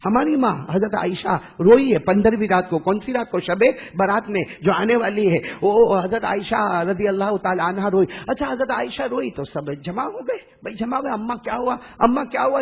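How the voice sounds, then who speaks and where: A man's voice: high-pitched, moderate pace, gravelly, few pauses, breathy edge, phone-quality sound. A man preaching an Islamic sermon in a mosque, impassioned and rhetorical, repeating phrases and prompting the congregation to answer. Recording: reverberant hall, long echo.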